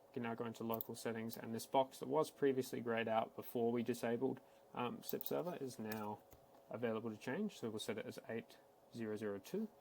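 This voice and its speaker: Creaky-voiced man giving a casual tutorial. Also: mid-range voice, a little fast, darkish tone, a little hiss or hum, rough recording. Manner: casual, matter-of-fact, step-by-step. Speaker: tech support instructor. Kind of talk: screen-recorded software tutorial, unscripted walkthrough.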